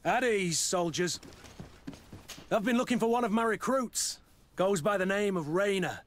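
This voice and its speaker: An actor playing a gruff, stubborn army captain in a video game, blunt and plain-spoken. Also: male voice, sits high, a little slow, a little hiss or hum. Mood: businesslike. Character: gruff, stubborn male captain, loyal to his recruits. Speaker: military captain. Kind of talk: video game dialogue acting.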